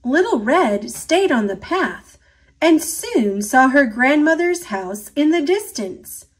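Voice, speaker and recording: Slow, expressive woman reading a storybook. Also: sits high. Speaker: storybook narrator. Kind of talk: expressive read-aloud for children.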